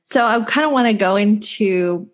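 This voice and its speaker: A woman hosting a podcast, asking questions in a casual, relaxed way. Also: medium pitch, moderate pace. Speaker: podcast host, interviewer.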